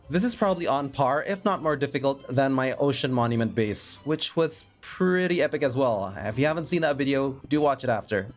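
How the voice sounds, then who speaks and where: A man narrating his gameplay in a chatty, self-mocking voice-over, a bit raspy from a cold. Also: mid-range voice. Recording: faint background music.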